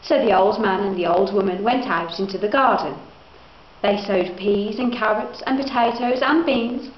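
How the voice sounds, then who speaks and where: A woman's voice: medium pitch, normal speed. A young woman reading a children's picture book aloud as a student narrator. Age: young adult. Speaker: student reader. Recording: echoey, muffled room, faint background noise.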